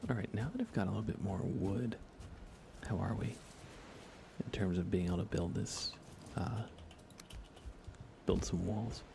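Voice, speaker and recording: A man softly commentating on a relaxed ASMR gaming livestream, often near a whisper, musing aloud casually with dry humor. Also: deep voice, a little slow, vocal fry, long pauses, a little hiss or hum. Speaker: live streamer, host.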